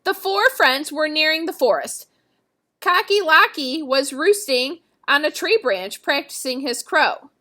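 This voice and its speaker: A woman reading a children's story aloud as an expressive storyteller. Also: high voice, a little slow.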